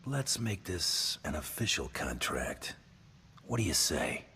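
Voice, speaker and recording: A man voicing a cocky, deadpan bounty hunter in a video game, dry and self-assured. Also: extremely low pitch, slow pace, breathy edge, exaggerated voice. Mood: dry affection.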